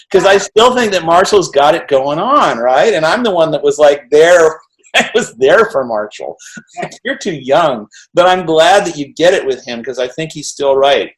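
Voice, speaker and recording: A middle-aged man hosting an interview over a video call, talking in an energetic, rambling way and often tagging on "right?". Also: on the high side, a little slow, poor audio. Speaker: interview host. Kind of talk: animated casual conversation.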